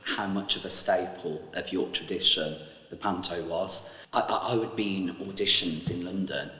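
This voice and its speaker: A stage actor speaking fondly and earnestly about his fellow cast, a little halting. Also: sits low, fairly even intonation, bright, forceful tone, echoey room, in a large hall, low-quality recording. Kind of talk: interview.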